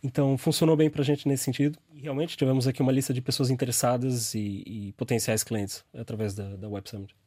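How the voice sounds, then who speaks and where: A young man with a Brazilian Portuguese accent answers interview questions about his company, searching for words and restarting sentences often. His pitch is middling for a man. The recording is close and dry. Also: steady tone, a little fast, hardly any pauses, mellow, no echo. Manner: hesitant, many fillers and restarts. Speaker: startup founder.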